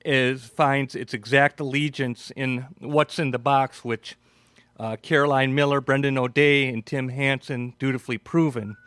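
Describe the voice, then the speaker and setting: A man hosting live radio in unscripted chat, effusive and colloquial, with halting phrasing. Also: mid-range voice, fairly even intonation, fairly bright tone, smooth, no echo. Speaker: host and playwright.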